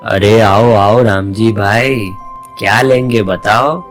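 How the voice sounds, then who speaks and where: A man acts as a restaurant proprietor in a storybook cartoon. The voice is on the low side and unhurried, with faint music underneath. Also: steady tone. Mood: hospitable. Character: male hotel-owner boss in a moral tale. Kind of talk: animated moral story dialogue.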